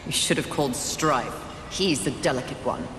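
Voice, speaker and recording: A woman's voice: a bass voice, sing-song, normal speed, noisy background. A woman voicing a bored, mocking, bloodthirsty horseman of the apocalypse in a video game, haughty and sardonic. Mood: sardonic, confident. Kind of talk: video game cinematic voice acting.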